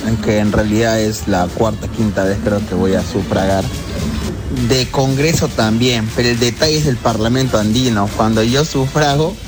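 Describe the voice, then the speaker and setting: A man's voice: on the low side, moderate pace, over music. A man explaining his view casually in a listener's voice message.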